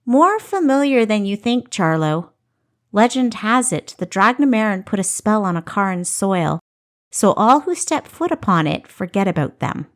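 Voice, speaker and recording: A woman voicing an animated female historian who retells ancient legends with emphatic storytelling in a fantasy audiobook. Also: medium pitch, moderate pace, close to an everyday voice. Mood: knowing, storytelling.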